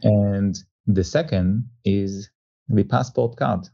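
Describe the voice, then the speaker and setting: A man's voice: on the low side, slow, gravelly, warm tone, dry recording, muffled recording. A man who is not a native German speaker, a company executive giving earnest interview answers that mix English and German words. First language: not German; father is German. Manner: earnest, repeats words, searches for phrasing. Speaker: insurance company executive guest. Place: office in Hamburg.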